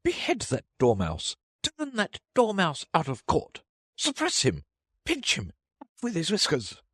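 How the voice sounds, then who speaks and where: A man's voice: fairly high pitch, huge pitch swings, a little slow, a bit bright, slightly rough, no echo, studio quality, pitched above the performer's own voice, heavily acted. A man voicing an imperious, furious queen who shrieks orders in a storybook reading. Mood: shrieking fury. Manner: shrieking, bossy. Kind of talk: storybook character voice.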